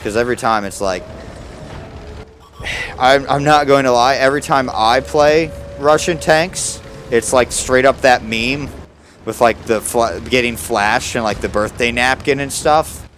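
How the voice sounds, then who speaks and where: A man's voice: medium pitch, unhurried, fairly bright tone, slight background noise. A young American man giving casual, sarcastic commentary while streaming a game, grumbling about his bad luck. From United States.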